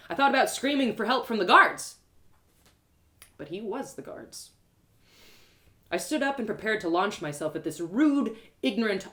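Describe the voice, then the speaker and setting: A woman narrating a first-person storybook tale in an expressive, dramatic reading style. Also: medium pitch. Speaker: author reading own story.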